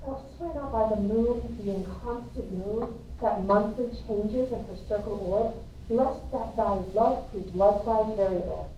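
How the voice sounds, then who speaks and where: A woman's voice: medium pitch, measured pace, warm tone, dull audio, lightly acted. A woman reading the part of an earnest, anxious young girl in love in a Shakespearean verse drama. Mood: anxious, questioning. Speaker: amateur reader in a school play. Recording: roomy, distant mic, faint noise.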